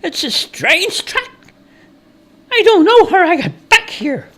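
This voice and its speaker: A man's voice: extremely high pitch, very animated, takes it slowly, a bit bright, pitched above the performer's own voice, exaggerated voice. A man voicing a lost, exasperated traveller in a storybook tale, exclaiming and pleading. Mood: bewildered. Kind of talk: storybook character voice.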